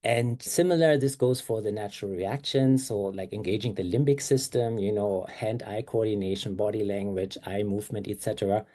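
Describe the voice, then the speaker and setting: A man's voice: lowish voice, normal speed, hardly any pauses, warm tone. A man with a German accent, a native German speaker, giving a conference talk with slides in an explanatory way, with frequent fillers and self-corrections.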